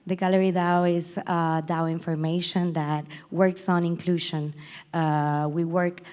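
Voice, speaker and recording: A woman on a conference panel, introducing her work haltingly with many fillers. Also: fairly low pitch, level tone, a little mellow, clean tone. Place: conference hall.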